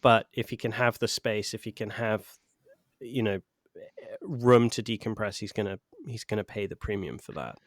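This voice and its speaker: A man co-hosting a podcast, talking about a film in a measured, thoughtful way. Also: fairly low pitch, no room sound, clean studio sound. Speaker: podcast co-host. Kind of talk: casual film discussion.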